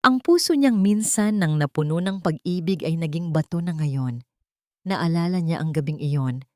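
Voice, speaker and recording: A woman's voice: low-pitched, lively intonation, moderate pace, no echo, studio quality. A woman with a Filipino accent narrating a dramatic story as a scripted audiobook.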